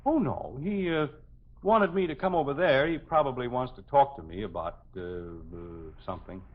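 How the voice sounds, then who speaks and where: Man playing a flustered, animated sitcom dad, old radio. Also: medium pitch, normal speed, close to an everyday voice. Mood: evasive, hesitant. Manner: hemming, exasperated, blustering. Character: flustered, grumbling middle-aged suburban father and husband. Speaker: actor in radio comedy. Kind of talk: 1950s radio sitcom acting. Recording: muffled old 1950s radio broadcast, faint noise.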